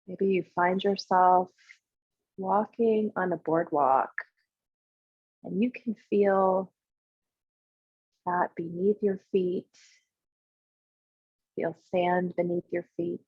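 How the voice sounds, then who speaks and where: A woman leading a guided visualization as a meditation guide, speaking in short, unhurried instructional phrases with pauses between them, in a slightly husky voice. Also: lowish voice, steady tone. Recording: muffled, roomy, echoey sound.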